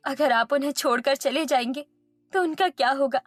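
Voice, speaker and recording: A woman's voice: sits high, moderate pace, dry recording, faint music behind, natural voice. A woman playing a meek, suffering young daughter-in-law in a Hindi cartoon story, plaintive and earnest. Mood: gentle pleading.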